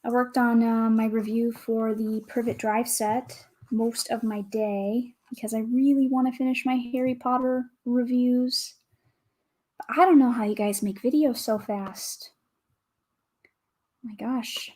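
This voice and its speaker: A woman with a calm, soothing voice, chatting casually with viewers as a livestream host, greeting and thanking them as she goes. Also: a little high, unhurried. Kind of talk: casual livestream chat while building.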